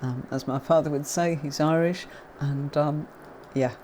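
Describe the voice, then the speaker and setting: Deep-pitched British woman, neutral accent, chatty livestream tarot teacher, faint noise in background. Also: fairly even intonation, measured pace. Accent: neutral southern English, faint Yorkshire. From Yorkshire, England. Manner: chatty, warm greetings to viewers, many fillers. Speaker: tarot reader hosting a livestream.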